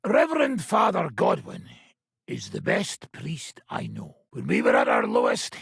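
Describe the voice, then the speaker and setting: Casting: brash middle-aged medieval mercenary lord, very gravelly, wildly animated delivery, sardonic soldier for a game. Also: male voice, fairly high pitch, a little slow, no room sound, clean studio sound, exaggerated voice. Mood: jovial, boisterous. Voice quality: raspy, gravelly. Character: gruff, swaggering middle-aged mercenary nobleman, foul-mouthed medieval soldier. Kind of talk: video game voice acting.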